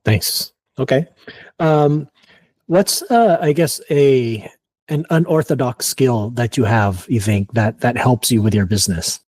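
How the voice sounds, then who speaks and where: A man hosting a podcast interview, asking relaxed questions and giving short, easygoing replies. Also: medium pitch, measured pace, dry recording. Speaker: podcast host. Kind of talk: casual interview.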